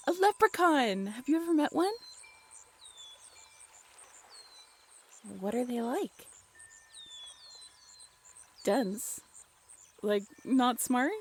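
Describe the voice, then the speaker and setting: Woman acting a high-voiced, playful, teasing young girlfriend. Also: a bit bright, slight background noise, exaggerated voice. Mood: curious, amused. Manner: giggly, teasing, drawling banter. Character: playful, teasing writer girlfriend of a werewolf, affectionate. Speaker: voice actor in a roleplay audio. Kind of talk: romantic comfort roleplay audio, conversational one-sided dialogue.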